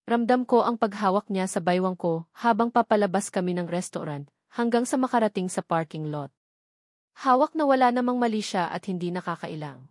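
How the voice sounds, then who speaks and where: A woman's voice: mid-range voice, a little mellow, no echo, studio quality. A woman with a Filipino accent narrating a first-person romance novel as an audiobook reader.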